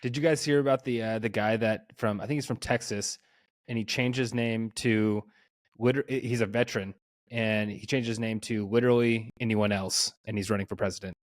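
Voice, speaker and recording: A man's voice: on the low side, steady tone, a little fast, dry recording, studio quality. A man co-hosting a podcast, chatting casually and informally. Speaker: podcast co-host. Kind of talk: casual chat.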